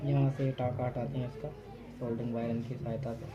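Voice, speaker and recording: A man walks viewers through a hands-on repair at a workbench, talking briskly and instructively. His pitch sits in the middle range, and music plays behind him. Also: flat delivery, lo-fi sound. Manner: brisk, instructive, repeats "fatafat" and "theek hai". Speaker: repair tutorial host.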